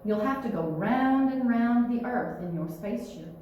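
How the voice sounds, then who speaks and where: Storybook father, voiced by a woman: affectionate, gently teasing, encouraging questioner, lively pitch swings, strong room echo. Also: normal speed, recorded from a distance, slight background noise, natural voice. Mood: playful, questioning. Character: playful, affectionate father gently testing his young daughter.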